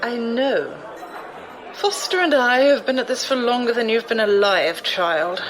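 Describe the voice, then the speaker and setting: A woman's voice: a little high, animated, a little slow, a crowd in the background, rough recording. A woman playing an imperious, shrewd, wealthy older noblewoman in an audio drama, commanding and condescending. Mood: amused, superior.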